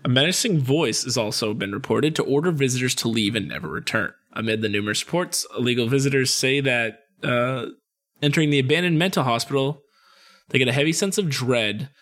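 A man's voice: medium pitch, a little fast, fairly bright tone. A young American man with a mid-range voice co-hosts a podcast. He tells stories casually, sliding between scripted narration and slangy, jokey asides. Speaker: podcast co-host. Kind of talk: casual comedic storytelling, reading a script with asides.

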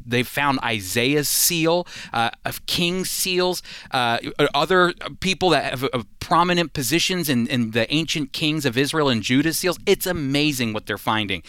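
A man's voice: on the high side, animated, normal speed, pushed and bright, smooth, no room sound. Earnest man teaching on radio. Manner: earnest, emphatic teaching with fillers and restarts. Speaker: radio Bible teacher, co-host.

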